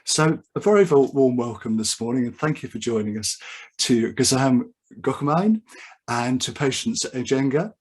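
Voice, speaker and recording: A man hosting a work webinar, welcoming guests in a measured, presenter-like way and repeating key points. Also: mid-range voice, expressive, slightly rough. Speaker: webinar host. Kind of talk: formal welcome and introduction. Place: home or office video call. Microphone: video call mic.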